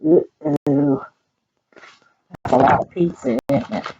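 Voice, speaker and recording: A woman's voice: low-pitched, fairly even intonation, takes it slowly, highs cut off, background noise. A woman with a Southern US accent reading a children's picture book aloud, chatting in folksy asides and putting questions to her young listeners. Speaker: library storyteller.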